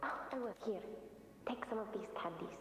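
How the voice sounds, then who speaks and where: An actress voicing an earnest child in a video game flashback, the voice washed in long reverb. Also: female voice, medium pitch, brisk. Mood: eager. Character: earnest child in a remembered past. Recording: echoey distant flashback reverb, music behind.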